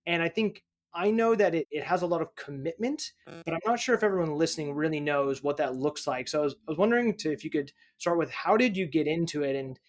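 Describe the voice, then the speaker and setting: Podcast host man with a slightly high voice. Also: lively intonation, quick pace. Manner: reads a scripted intro, then chats with restarts and fillers. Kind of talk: podcast interview.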